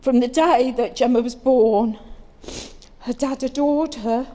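Slow-reading mother of the bride. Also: high voice, warm tone. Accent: English. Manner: slow, careful, heartfelt. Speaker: mother of the bride giving a speech. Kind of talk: reading a wedding speech. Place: glass-walled wedding reception room. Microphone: handheld mic. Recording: hall reverb with music under it.

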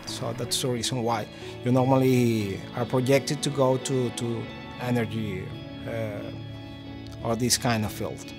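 A man's voice: mid-range voice, steady tone, takes it slowly. A man, a chemical engineer speaking carefully and searching for his words in an interview. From Venezuela. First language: Spanish. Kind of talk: documentary interview. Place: indoor maker workshop. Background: background music.

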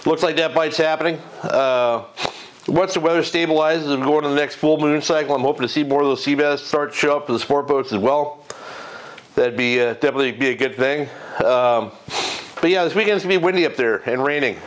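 A man's voice: a little high, a little fast. A middle-aged man hosting a fishing report from his garage, talking off the cuff with lots of fillers and restarts into a lapel mic. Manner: rambling, many fillers and self-corrections. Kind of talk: casual unscripted fishing report. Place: home garage, in front of a green screen.

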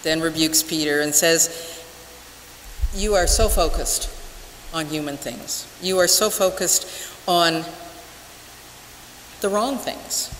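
An older woman preaching a sermon as a minister, measured and deliberate with emphatic pauses. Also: deep voice, a bit bright. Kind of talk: church sermon. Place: large wooden church sanctuary, at the pulpit. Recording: echoey church hall with hiss.

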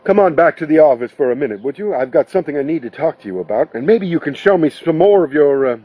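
A man reads the part of a showy nightclub owner from an audiobook novel. He is chummy and persuasive, husky, and fairly high for a man. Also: animated, quick pace, dull audio. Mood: effusive greeting. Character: smooth, glad-handing male nightclub owner and bandleader.

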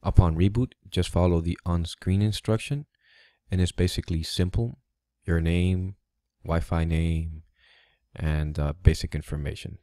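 A man hosting a tech tutorial, giving plain step-by-step instructions with a few halting fillers. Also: sits low, fairly even intonation, warm tone, no room sound, studio quality. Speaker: tutorial host. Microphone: USB studio microphone.

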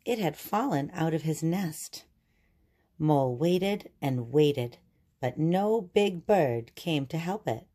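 A woman reading a children's picture book aloud and explaining it in a deliberate, teacherly way. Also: low-pitched, animated, warm tone.

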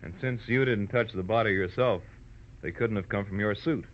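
Male cop, actor, low register, measured and matter-of-fact, dull-sounding old radio drama. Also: natural voice. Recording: muffled, noisy old-time radio recording.

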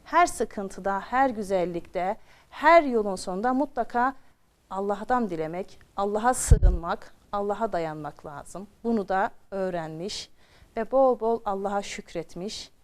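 A woman's voice: medium pitch, animated, unhurried. A woman telling a children's story expressively, repeating phrases for drama and addressing her young listeners directly.

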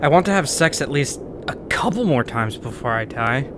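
A man playing an awkward, lonely young man, hesitant and halting, in a comic dub. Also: medium pitch, lively intonation, background noise, lightly acted. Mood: sheepish. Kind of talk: amateur comic dub acting.

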